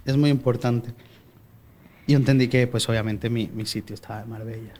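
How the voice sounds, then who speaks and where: A man's voice: medium pitch, fairly even intonation, moderate pace, a little mellow. A young man from Spain with a Málaga accent mixed with Mexican traces, sharing his faith story as a podcast guest in a chatty way, full of hesitations and restarts. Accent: Málaga Spanish with Mexican traces. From born in Mexico, raised in Spain. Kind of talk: personal faith testimony in casual conversation.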